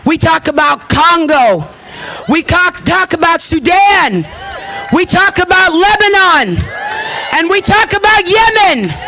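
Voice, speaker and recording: A woman's voice: extremely high pitch, animated, slow pace, pushed and bright, a faint crowd, a little hiss or hum, poor audio. A woman in her thirties giving an impassioned, emphatic rally speech as an activist, with repeated phrases and emotion in her delivery. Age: 30s. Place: at a rally before an audience.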